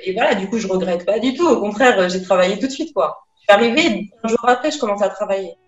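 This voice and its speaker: A woman's voice: medium pitch, expressive, fast. A young woman speaking casually as a guest over a video call, hesitant, with many fillers and restarts. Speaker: interview guest. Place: small room indoors. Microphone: laptop webcam mic on video call. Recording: roomy, dull lo-fi call audio with faint music.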